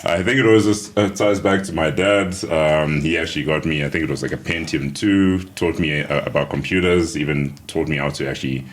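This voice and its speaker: A man in his thirties from Zambia, a tech founder answering interview questions in a relaxed way, with fillers and chuckles. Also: very deep voice, a little fast, few pauses, a little hiss or hum.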